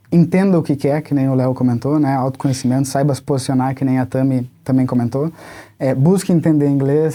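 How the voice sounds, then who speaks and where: A man's voice: a little high, mellow. A man with a Brazilian accent hosting a casual panel, moderating and asking questions. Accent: Brazilian Portuguese. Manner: quick, chatty, moderating. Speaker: host.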